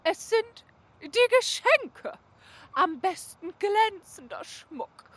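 Woman as a very high, haughty vain animal. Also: very animated, slow, exaggerated voice. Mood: haughty, smug. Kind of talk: children's audio play acting.

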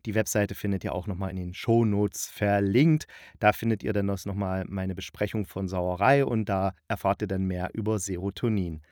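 A man's voice: on the low side, a little mellow, no echo, studio quality. A man hosting a podcast, giving a chatty review while thinking aloud and correcting himself. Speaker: podcast host and radio drama critic. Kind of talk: chatty, loosely scripted review monologue.

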